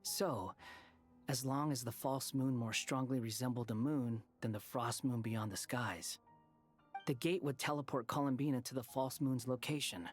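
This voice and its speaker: A man's voice: mid-range voice, fairly even intonation, normal speed, lightly acted. Aloof young man played by a male actor for a game, medium register, cynical explainer, faint music. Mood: grave explaining. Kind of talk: video game voice acting.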